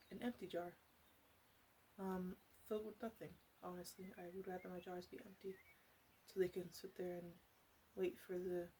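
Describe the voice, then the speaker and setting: Flirty witch shopkeeper played by a woman, mid-pitched, soft ASMR delivery slipping into whispers, teasing and halting, faint background noise. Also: vocal fry, low-quality recording. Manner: teasing, halting, conversational asides. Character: flirty, sly female witch running a potion shop. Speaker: ASMR roleplay performer.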